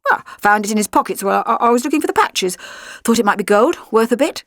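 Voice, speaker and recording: A woman's voice: fairly high pitch, expressive, fast talker, a bit bright, dry recording, clean studio sound. A woman voicing a shifty, cheeky teenage boy sidekick with a casual, defensive manner in an audiobook.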